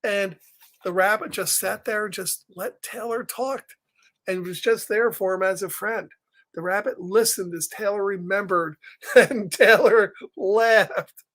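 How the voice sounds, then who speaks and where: A man hosting a story time, reading a picture book aloud gently with chatty asides and questions, sometimes dropping almost to a whisper. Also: sits high, unhurried. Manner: gentle, conversational, often asks questions and drops into whispers. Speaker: story time reader and host.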